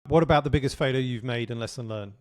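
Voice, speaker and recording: Male interview host, mid-pitched, casual and talkative, dry close-miked sound. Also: fast talker, dry recording. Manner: chatty, lots of fillers and restarts, friendly asides. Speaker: podcast host.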